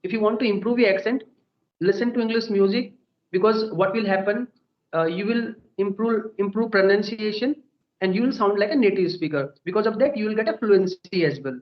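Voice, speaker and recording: A man's voice: sits high, a little fast. A young man with an Indian accent talks through a casual English conversation, earnest and full of little "okay" check-ins. The sound is dull and muffled, with a noticeable echo from the room around him. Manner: earnest, peppers speech with "okay" and "you know". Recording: dull echoey room recording.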